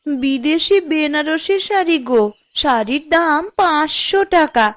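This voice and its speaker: A woman's voice: sits high, animated, measured pace, a bit bright. A woman voicing a boastful neighbour wife in a cartoon, mocking and taunting as she shows off. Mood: gloating, mocking. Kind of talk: Bengali cartoon voice acting.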